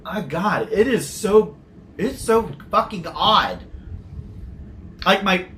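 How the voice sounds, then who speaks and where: A man's voice: high-pitched, lively intonation, slow, sharp tone, a little hiss or hum. Chatty, rambling man reviewing soda. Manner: chatty, rambling, mild swearing. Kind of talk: casual product review.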